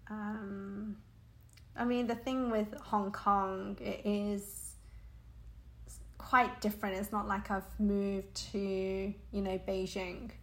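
A woman answering interview questions in a hesitant, conversational way, with many pauses and frequent laughs. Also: medium pitch, level tone. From United Kingdom. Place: Hong Kong.

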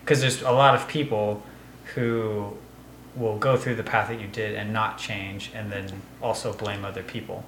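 A man hosting a podcast, talking in an easygoing, supportive way with halting filler words. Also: lowish voice, level tone, fairly bright tone, roomy sound, slight background noise, poor audio. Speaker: podcast host. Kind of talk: casual chat.

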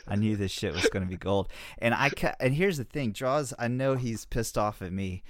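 A man with a fairly deep voice hosts a casual podcast. He talks in a loose, rambling, laid-back way and swears freely. The sound is dry, with very little room. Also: animated, normal speed, dry recording. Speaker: podcast host.

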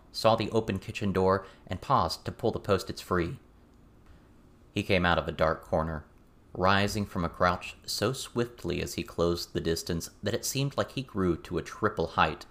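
Guy reading an audiobook, low voice, measured deliberate story narration. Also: fairly bright tone. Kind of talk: scripted fiction narration.

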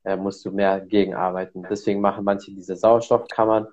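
A man's voice: sits low, flat delivery, brisk, darkish tone, dull audio. A man hosting a casual podcast who explains exercises at length with many fillers. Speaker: podcast host.

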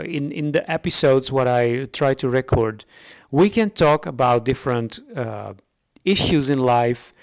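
A man with a Dutch accent talks straight to his listeners as the host of his own podcast. His English is a second language, and he speaks off the cuff, stopping often to restart a sentence or fill a gap. His voice sits at an ordinary middle pitch for a man. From the Netherlands. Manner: hesitant, unscripted, frequent fillers and restarts. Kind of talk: solo podcast introduction.

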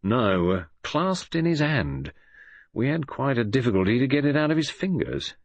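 A man's voice: medium pitch, very animated, moderate pace, dry recording, voice pushed up. A man voicing a blunt, jovial police inspector with a hearty, bluff manner.

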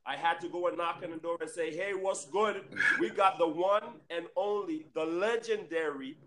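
A man hosting a casual podcast interview, excitable and quick with hype interjections. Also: on the high side, a crowd in the background. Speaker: podcast host.

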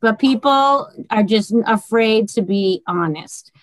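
Guest spot: Boston woman, late forties, high voice, animated, salty talker. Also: slow, dry recording, low-quality recording. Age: 40s. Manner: animated, swearing freely, emphatic. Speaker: guest author. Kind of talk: casual chat.

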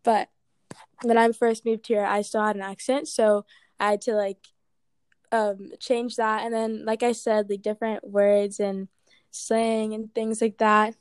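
A woman's voice: mid-range voice, level tone, unhurried, clean tone, no room sound, clean studio sound. A woman from Ghana with an American accent, answering interview questions casually with many "like" and "um" fillers.

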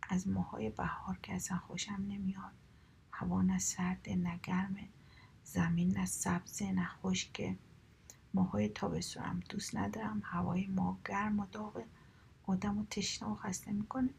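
A woman's voice: mid-range voice, flat delivery, gravelly, darkish tone, slight background noise. A woman whispering the part of a rude, sulky young woman who always complains, in a storybook reading.

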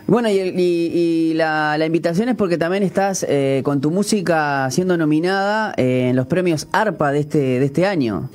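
Male Uruguayan radio host, higher pitch, chatty, hesitant, many fillers. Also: moderate pace, few pauses, darkish tone, faint music behind. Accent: Uruguayan Spanish. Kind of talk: radio interview. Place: radio studio.

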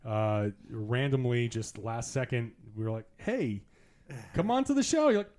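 A man's voice: medium pitch, expressive, measured pace, a little mellow. Man, podcast host, casual chat, chatty, laughs often, restarts sentences. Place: in-person recording in Las Vegas.

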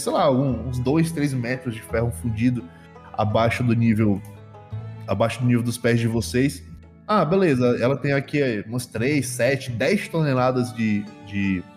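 A Brazilian man improvising tabletop game narration as game master, halting and often repeating his phrases. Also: mid-range voice, darkish tone. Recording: long hall reverb, music behind.

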